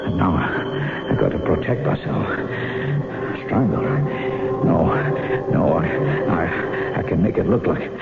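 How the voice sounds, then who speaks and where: An actor playing a resentful, scheming young farmer, a brash and sneering elder brother, in theatrical 1970s radio drama style. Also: male voice, sits low, sing-song, slow, exaggerated voice. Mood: panicked, then cold. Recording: tinny old radio broadcast with music.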